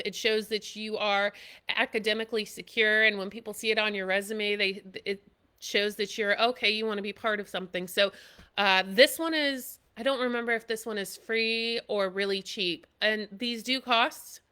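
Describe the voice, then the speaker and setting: A woman talks off the cuff in a live video, her voice nasal from allergies but bright and crisp. She rambles in a friendly way, leaning on lots of fillers as she goes. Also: mid-range voice. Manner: loose and chatty, many "you know" and "um" fillers. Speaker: military service member presenting school tips. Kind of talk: impromptu live video talk.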